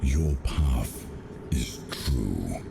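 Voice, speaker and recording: An actor playing an ancient, wise lord of the seas in a video game, grave and portentous, with a gravelly, deliberate delivery. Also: male voice, a bass voice, expressive, very noisy, exaggerated voice. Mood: wise, respectful. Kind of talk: dramatic video game acting. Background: loud music.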